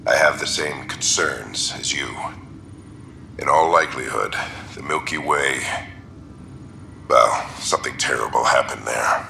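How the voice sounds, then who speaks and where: An actor playing a grave, weary military explorer recording a log, in a gravelly voice with clipped delivery. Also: male voice, rumbling low, unhurried, long pauses, pushed and bright, slight background noise, exaggerated voice. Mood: grave, wary.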